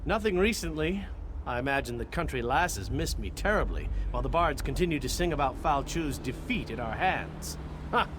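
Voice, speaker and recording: An actor playing a roguish, eager young warrior in a video game, breezy and swaggering. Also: male voice, medium pitch, animated, normal speed, lightly acted. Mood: cocky, breezy. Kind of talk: video game character dialogue. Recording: game ambience noise behind voice.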